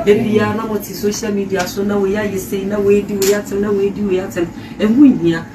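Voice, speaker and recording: A man's voice: high-pitched, flat delivery, brisk, reverberant, recorded from a distance, background noise. A man mediating a quarrel, lecturing both sides with growing emphasis.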